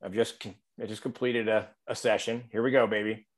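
Low-voiced man hosting an interview. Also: level tone, a little fast. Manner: agreeable, frequent "yeah", recapping. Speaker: host. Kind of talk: casual interview.

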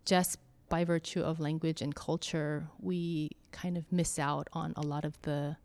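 A woman talking slowly and thoughtfully about faith and church life, pausing often with soft fillers. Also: deep voice, level tone. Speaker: church member interviewee. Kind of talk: reflective conversation.

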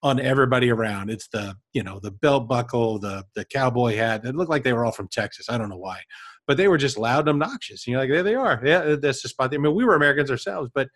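A man's voice: medium pitch, expressive, fast talker, fairly bright tone, dry recording, clean studio sound. A man co-hosting a casual discussion, reflective and explaining with personal examples. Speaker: podcast co-host.

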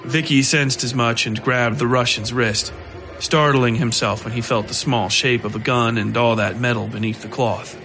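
A man's voice: medium pitch, fairly even intonation, moderate pace, poor audio. A man narrating scripted horror fiction as a storyteller. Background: music under the voice.

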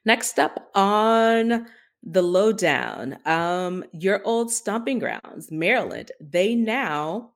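A woman's voice: medium pitch, animated, extremely slow, gravelly. An American woman co-hosting a podcast, talking through tech news in a conversational style. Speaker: podcast co-host.

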